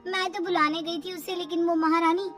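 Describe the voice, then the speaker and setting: A woman's voice: very high voice, steady tone, brisk, fairly bright tone, soft background music, pitched above the performer's own voice. A woman voicing a gossiping, mock-scandalized young sister-in-law with a sly, insinuating manner in a narrated story.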